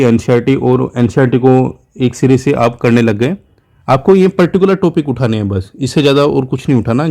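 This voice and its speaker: A man's voice: medium pitch, a little mellow. A man with an Indian accent giving an informal exam coaching lecture, instructive and repetitive, often checking in with short tags. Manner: Hindi mixed with English terms. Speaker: exam-prep teacher.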